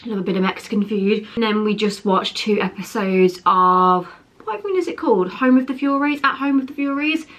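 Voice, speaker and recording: A woman's voice: medium pitch, a little fast, a bit bright. A young woman vlogging at home, chatty and rambling, wheezy from a chest infection. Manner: chatty, rambling, self-correcting. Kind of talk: casual chatty vlog. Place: home, indoors.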